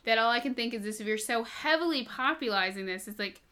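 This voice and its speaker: A woman's voice: a little high, animated, quick pace, pushed and bright. A woman co-hosting a podcast in casual chat, opinionated and ranting at times. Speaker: podcast co-host.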